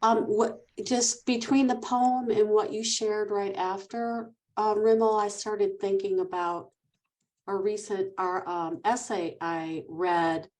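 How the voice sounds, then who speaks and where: A woman's voice: medium pitch, steady tone, slow, a little mellow, low-quality recording. A woman joining a video call discussion, speaking hesitantly with many ums and restarts. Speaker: participant. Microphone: video call computer mic.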